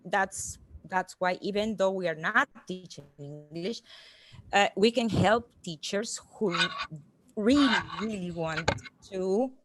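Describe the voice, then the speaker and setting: A woman speaking earnestly and deliberately in an online meeting, halting between words as she builds her sentences. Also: medium pitch, slight background noise, low-quality recording. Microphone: online video call.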